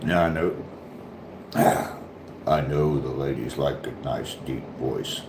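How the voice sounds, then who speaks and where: A man from Ohio with a mild southern Ohio accent and a deep voice, chatting casually on his livestream and answering viewers' comments. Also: slow, echoey room, background noise. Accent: southern Ohio, slight Appalachian touch. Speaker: livestream host.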